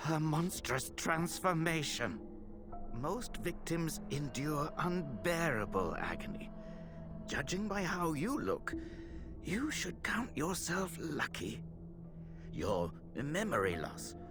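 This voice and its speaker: A man playing a grave, compassionate, scholarly temple healer in a video game, with formal, thoughtful, measured delivery. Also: a little high, full character voice. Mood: grave, ominous, then concerned, measured. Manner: solemn, formal, thoughtful, old-fashioned diction. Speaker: video game character voice actor. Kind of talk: scripted game dialogue, dramatic and measured.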